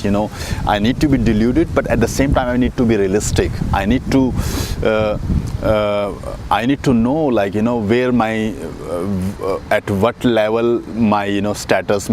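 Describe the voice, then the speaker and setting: A man with an Indian accent rambles through a casual outdoor vlog, his fairly low voice full of fillers and restarts. Faint street traffic hums behind him. He sits outside on a windy day. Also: a little mellow. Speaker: dating coach vlogger.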